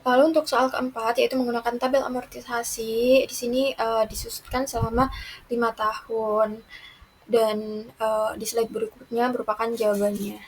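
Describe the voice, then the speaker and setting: Young female student presenter, fairly high voice, halting delivery with restarts, noisy recording. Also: flat delivery. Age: young adult. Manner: halting, with broken-off words and restarts. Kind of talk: lesson presentation, working through accounting problems. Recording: noticeable background noise.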